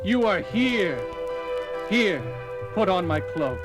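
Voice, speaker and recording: A man's voice: high-pitched, very animated, slow, over music, exaggerated voice. An actor playing an anguished, earnest male scientist in a melodramatic 1970s horror audio drama. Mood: astonished. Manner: melodramatic, exclaiming.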